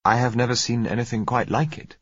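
A man's voice: mid-range voice, little pitch movement, brisk, a bit bright, breathy edge, no echo, voice pushed up. A man voicing a cautious, businesslike company managing director in an audiobook. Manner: businesslike, guarded. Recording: dull lo-fi audio.

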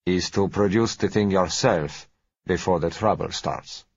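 Suave, courteous foreign dealer, read by a man; low, husky, measured delivery. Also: no room sound, exaggerated voice. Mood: smooth, measured. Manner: unhurried, gentle, courteous. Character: suave polite male foreign oil dealer. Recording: dull lo-fi audio.

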